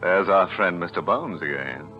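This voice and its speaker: A man's voice: sits low, lively intonation, normal speed, music in the background. A man hosting a 1940s radio horror anthology with tongue-in-cheek, macabre humor. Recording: dull 1940s radio recording.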